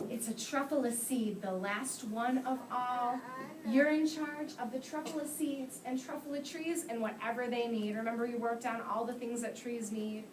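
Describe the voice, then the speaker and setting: A woman's voice: on the high side, steady tone, measured pace, a crowd in the background. A woman teacher reading aloud to young children and asking them questions, instructive and full of praise, recorded from a distance in a classroom. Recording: echoey noisy classroom, distant microphone.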